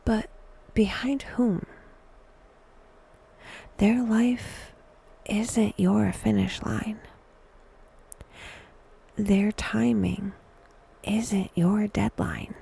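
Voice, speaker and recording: A woman narrating a scripted guided meditation in a hushed, breathy, often near-whispered voice, with a wry, dry delivery. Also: mid-range voice, steady tone, drawn-out words, pauses often.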